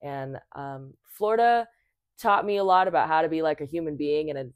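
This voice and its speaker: Young woman guest, low voice, dry close sound, relaxed chatter with fillers. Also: brisk, clean tone, dry recording. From Thailand. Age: 20s. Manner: chatty, many fillers and restarts. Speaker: podcast guest. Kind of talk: casual conversation.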